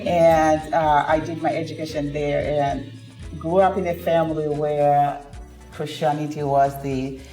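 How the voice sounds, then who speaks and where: A woman from Zimbabwe, a ministry founder, calmly sharing her personal story as a guest in a talk show interview. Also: low-pitched. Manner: calm, measured. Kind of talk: interview testimony. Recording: echoey room, music behind.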